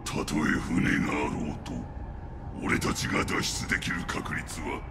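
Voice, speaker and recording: An actor playing an ancient captive male beast warlord in a video game, gravelly, imperious and menacing. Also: a bass voice, fairly even intonation, measured pace, background noise. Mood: scornful anger. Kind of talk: dramatic video game acting.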